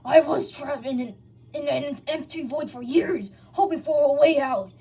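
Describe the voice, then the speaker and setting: A woman voicing a bitter, vengeful male hedgehog, a fallen hero with a forceful growling delivery. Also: high voice, moderate pace, distant mic, pitched above the performer's own voice. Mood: aggrieved.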